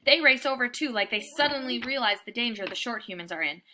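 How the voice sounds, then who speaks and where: A woman reading a children's book aloud as storyteller, with expressive, dramatic and deliberate phrasing for young listeners. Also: on the high side, slight background noise.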